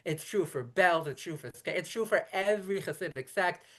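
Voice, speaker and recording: A woman rabbi in her thirties from brooklyn, yiddish first language, talks fast in long explaining runs, keeps restarting and fixing her words mid-sentence. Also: fairly low pitch, lively intonation, fairly bright tone. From Brooklyn, New York. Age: 30s. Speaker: rabbi, interview guest. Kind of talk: conversational interview.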